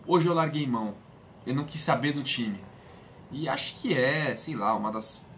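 A man's voice: medium pitch, a bit bright. A man in his late twenties with a Brazilian Portuguese accent, talking casually and earnestly as a fan vlogger, repeating phrases for emphasis. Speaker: football fan channel host. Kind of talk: casual vlog monologue. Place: small bedroom, indoors. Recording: clear background room noise.

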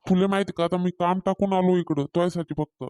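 A woman's voice: on the low side, fairly even intonation, fast talker, dark tone, no room sound, studio quality, natural voice. A woman voicing a stern, irritable farmer father in a cartoon, with a rural Maharashtrian accent and a blunt manner. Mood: angry scolding. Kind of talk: Marathi cartoon comedy dialogue.

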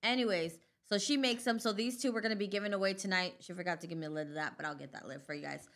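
A chatty woman co-hosting a livestream with a Southwestern US accent and a bright, forward tone. She talks casually into a studio boom mic in a small room, laughing easily and reading out viewer comments. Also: very fast. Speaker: co-host. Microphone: studio mic on a boom, headphones.

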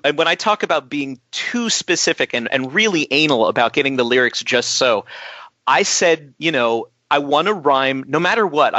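A man chatting casually as a musician guest in an interview, telling stories in an animated, self-deprecating way and acting out remarks. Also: a little high, dry recording, dull audio.

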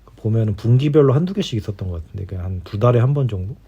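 A man's voice: lowish voice, expressive, racing, mellow, breathy edge. A middle-aged man chatting casually in a rambling, easygoing way, like a podcast host talking before bed. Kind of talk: casual bedtime chat between spouses. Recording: dull lo-fi home recording.

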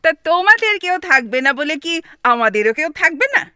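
Shrill, scolding old Bengali wife. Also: female voice, big pitch swings, moderate pace, sharp tone, dry recording, studio quality, full character voice. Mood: exasperated, scornful. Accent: Bengali, West Bengal. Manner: scolding, sarcastic, theatrically grumbling. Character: exasperated elderly nagging Bengali wife, sharp-tongued. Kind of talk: comic audio drama acting.

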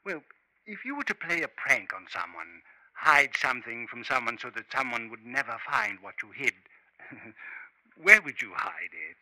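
A man's voice: mid-range voice, sing-song, moderate pace, pushed and bright, no echo, low-quality recording. An actor playing a distracted, curt male professor with a hesitant, abrupt manner in 1950s radio style. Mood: uneasy, probing. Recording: dry 1950s radio recording.

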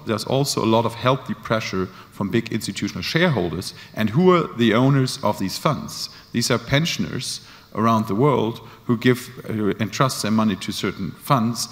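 A man in his forties speaking as a panelist in a large conference hall, pausing often with fillers, into a handheld microphone. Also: lowish voice. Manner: hesitant, many fillers. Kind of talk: panel discussion. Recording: long hall reverb.

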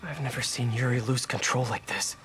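An actor playing an earnest, guilt-ridden young masked superhero in a video game, talking to himself with quick quips. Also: male voice, mid-range voice, natural voice. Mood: regretful, subdued. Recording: loud music under the voice.

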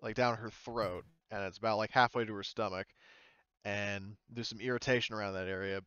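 A man acts as game master in a casual, hesitant way, feeling for the right words. His voice is smooth and sits at a middle pitch. The recording is dry and a little muffled. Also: fairly even intonation, fairly quick, pushed and bright, dry recording. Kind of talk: casual tabletop roleplaying narration and chat. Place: voice chat in an online game session.

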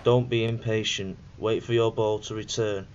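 A man's voice: fairly low pitch, little pitch movement, normal speed. A young man reading scripted narration for a student documentary in a plain, instructional way. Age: young adult. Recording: dull lo-fi voice-over with faint noise.

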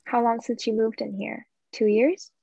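A woman playing a self-assured young married gentleman with a husky, creaky voice in a radio play. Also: a little high, little pitch movement, normal speed, dry recording, exaggerated voice. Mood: polite. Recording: dry, slightly dull sound.

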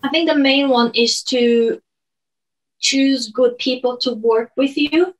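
A woman in her thirties from Brazil with a Brazilian Portuguese accent, a native Portuguese speaker, talking over a video call from her bedroom in a measured, earnest way. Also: high-pitched, poor audio. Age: 30s. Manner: measured, earnest, some restarts. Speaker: guest, online lecturer. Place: bedroom at home.

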